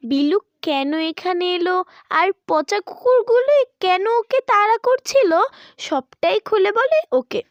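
A woman narrating a children's cartoon story in an expressive storytelling manner. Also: very high voice, unhurried, no room sound, lo-fi sound.

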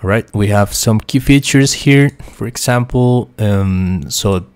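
A young man from Costa Rica with a Spanish accent, a QA engineer talking through a software tutorial with many fillers and restarts. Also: lowish voice, unhurried, clear, resonant tone, dry recording. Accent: Costa Rican Spanish. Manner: hesitant, many fillers and restarts, quietly talks to himself. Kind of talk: screen-share tutorial explanation.

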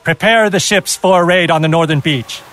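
An actor playing an earnest, honorable Chinese fleet captain with a measured, formal delivery in a game cutscene. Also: male voice, on the high side, fairly bright tone, close to an everyday voice. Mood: urgent, resolute. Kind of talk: dramatic game cutscene acting. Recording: game audio with music behind.

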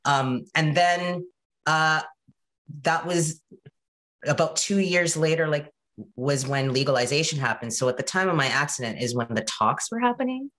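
A woman's voice: deep voice, normal speed. Chatty Canadian woman on a video call. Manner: animated, chatty, frequent "right?" and "you know". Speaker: guest presenter. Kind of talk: casual video-call interview. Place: home room.

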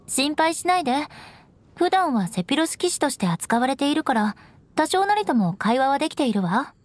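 A young noblewoman knight from an anime game with a high, expressively inflected voice. She is proud and stoic, speaking with measured formality and haughty composure over background music. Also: big pitch swings. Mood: calm, resigned. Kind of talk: Japanese anime game voice acting.

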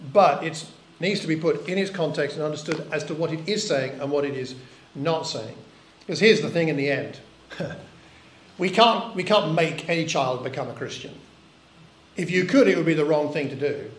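Earnest older preacher in echoey hall. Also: male voice, a little high, expressive, normal speed. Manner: earnest and reflective, with rhetorical questions. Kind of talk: sermon. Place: church hall. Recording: echoey church recording.

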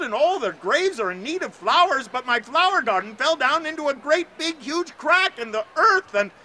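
A man's voice: extremely high pitch, bright, forceful tone, a little hiss or hum, pitched above the performer's own voice, heavily acted. A man voicing a frightened young male villager who pleads and stammers in a storybook tale.

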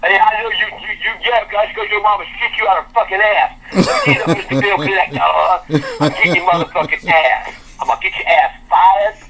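A man's voice: sits high, a little fast, crowd noise. An older American man ranting combatively and profanely on a phone call, heard over a noisy speakerphone. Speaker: prank call target. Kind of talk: angry phone rant. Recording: noisy tinny speakerphone playback.

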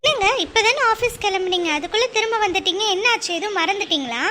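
A woman's voice: extremely high pitch, animated, fast, pushed and bright, exaggerated voice. A woman playing a strict mother who scolds and mock-threatens the children in a family comedy skit. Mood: chatty. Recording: noisy background.

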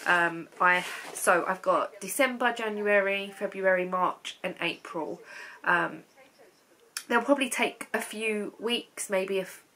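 A woman's voice: medium pitch, measured pace, bright, forceful tone. A woman in her thirties with a blocked, stuffy nose from a cold, chatting to her webcam about knitting from her sofa at home, rambling with lots of ums. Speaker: knitting vlogger. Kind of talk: casual chat to camera. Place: living room at home, on a sofa.

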